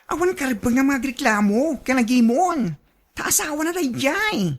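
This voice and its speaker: A woman's voice: high-pitched, sing-song, normal speed, heavily acted. A woman playing a frank, scolding female confidante in a radio drama, matter-of-fact, with an Ilocano accent. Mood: chiding.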